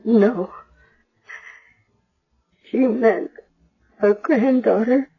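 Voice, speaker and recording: Slow, tender, high-voiced young woman. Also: slightly rough, exaggerated voice. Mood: subdued, puzzled. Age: young adult. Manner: hesitant, emotional. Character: tender, wistful young woman caring for dying patient. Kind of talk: radio drama acting. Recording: dull old 1970s radio broadcast.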